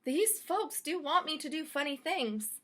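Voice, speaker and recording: A woman's voice: high voice, lively intonation, measured pace, fairly bright tone, pitched above the performer's own voice. A woman voicing a cheerful, literal-minded storybook housemaid who is earnest and eager to please. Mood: pleased, musing. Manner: earnest, guileless, chirpy. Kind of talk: children's storybook character voice.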